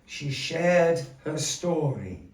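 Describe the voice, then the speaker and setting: Slow, theatrical male storyteller in an echoey gallery. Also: a little high, distant mic. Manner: slow, theatrical storyteller with lively rises and falls. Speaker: puppet show narrator. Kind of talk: storytelling narration to a live audience. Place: gallery space. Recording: echoey gallery room, voice from afar, faint noise.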